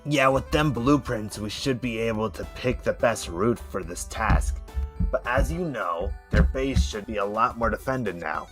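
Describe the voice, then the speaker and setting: A man's voice: fairly low pitch, a little slow, a bit bright, soft background music. A man voicing a practical schemer planning a rescue in an amateur cartoon comic dub. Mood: businesslike.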